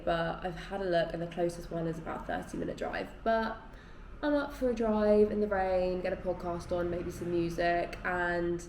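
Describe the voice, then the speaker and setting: A woman's voice: fairly low pitch, a little mellow, echoey room, a little hiss or hum. A woman chatting casually to camera at home, rambling and a bit tired and stuffy. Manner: chatty and rambling, lots of "like" and "yeah". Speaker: vlogger. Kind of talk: casual chatty vlog talk. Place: at home in her flat.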